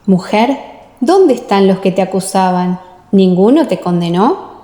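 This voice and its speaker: A woman's voice: mid-range voice, lively intonation, a little slow, dark tone, close to an everyday voice. A woman voicing Jesus as a solemn, authoritative teacher in an audiobook radio play. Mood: gentle, solemn. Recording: echoey, long reverb.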